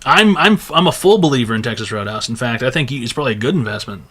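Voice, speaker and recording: A man hosting a live call-in advice stream, brash and crude, hoarse from losing his voice. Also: medium pitch, expressive, fast talker, fairly bright tone. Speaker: host.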